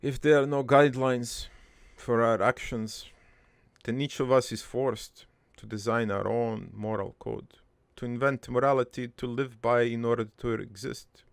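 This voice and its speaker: A man playing a gruff, crude army veteran turned prankster, telling his regretful life story in a halting, matter-of-fact ramble for an improvised cartoon monologue. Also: mid-range voice, fairly even intonation, dry recording. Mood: somber, weary.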